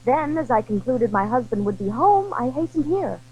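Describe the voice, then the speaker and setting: A woman's voice: fairly high pitch, big pitch swings, normal speed, a little mellow, a little hiss or hum, rough recording. A woman acting a charming, earnest young wife in a period audio drama, formal and pleading in manner. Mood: earnest, guarded. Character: charming young wife, about twenty-five, earnest and secretive.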